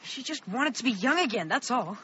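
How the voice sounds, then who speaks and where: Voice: medium pitch, animated, fairly quick, lo-fi sound, a little hiss or hum. An actor playing an earnest thirteen-year-old boy on the run, urgent and protective, in a radio drama. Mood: earnest, uneasy. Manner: urgent, protective, hushed at times.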